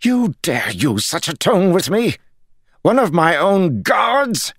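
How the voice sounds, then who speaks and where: A man's voice: sits high, sing-song, takes it slowly, a bit bright, breathy edge, no echo, studio quality, pitched above the performer's own voice, exaggerated voice. A male narrator voicing a crazed, furious noble war commander, snapping and imperious, in a fantasy audiobook. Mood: enraged, threatening.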